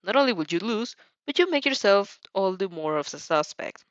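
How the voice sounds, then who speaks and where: A woman narrates a story in a theatrical, expressive way, with pitch that swings widely. She sometimes trips over a word. The recording is dry and a little muffled. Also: moderate pace, no echo. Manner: theatrical, stumbles over words. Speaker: fan fiction reader and host.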